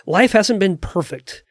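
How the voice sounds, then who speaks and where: A man's voice: high voice, expressive, slow, dry recording, studio quality. A man hosting a podcast interview, speaking in an affirming, encouraging way. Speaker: podcast host. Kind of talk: conversational interview.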